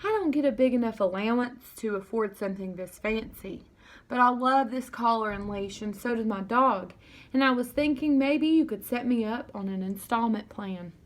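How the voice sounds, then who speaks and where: A woman's voice: on the high side, moderate pace, lightly acted. Woman as an earnest, eager young girl. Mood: earnest pleading. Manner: polite, persuasive, folksy.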